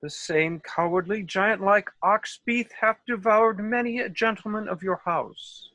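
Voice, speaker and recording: A man's voice: sits high, a little slow, sharp tone, dry recording, full character voice. A man playing a foolish, self-assured but courteous rustic workman in a comic Shakespeare table read, with theatrical delivery over a video call.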